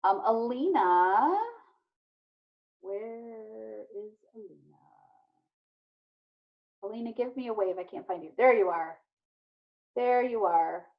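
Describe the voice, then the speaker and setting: A woman's voice: a little high, takes it slowly, long pauses. Muffled video-call voice of a woman teacher. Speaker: elementary teacher hosting an online read-aloud. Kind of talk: casual, encouraging talk to children over video call. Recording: muffled, band-limited video call audio.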